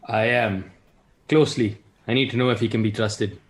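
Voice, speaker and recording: A man's voice: on the low side, steady tone, moderate pace, natural voice. A man playing a brooding, self-serious vigilante billionaire with a terse, grave delivery in a comic table read. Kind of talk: online comedy table read.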